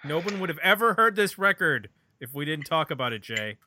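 A man's voice: a little high, very animated, brisk, very noisy. A middle-aged man chatting casually as the host of a music podcast.

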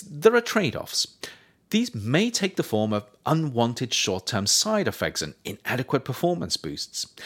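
A man reading his own nonfiction book aloud as scripted narration, with measured, deliberate phrasing. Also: on the low side, fairly bright tone.

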